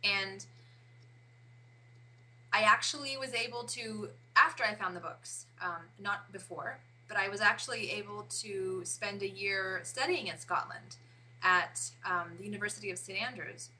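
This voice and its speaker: A woman in her forties, an interview guest, talking haltingly and often pausing to search for words. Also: medium pitch, bright, forceful tone, low-quality recording. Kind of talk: casual interview chat.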